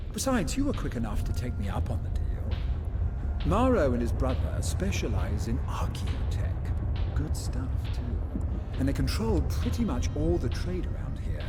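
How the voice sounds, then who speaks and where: An actor playing a shrewd male schemer in a video game cutscene, talking in a measured, wry, insinuating way. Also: mid-range voice. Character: smooth, shrewd male schemer offering bounty deals.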